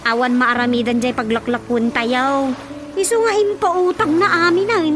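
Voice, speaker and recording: Actress playing a high-voiced, dutiful Ilocano daughter. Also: female voice, steady tone, normal speed, lightly acted. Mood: matter-of-fact. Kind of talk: radio drama acting. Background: prominent background music.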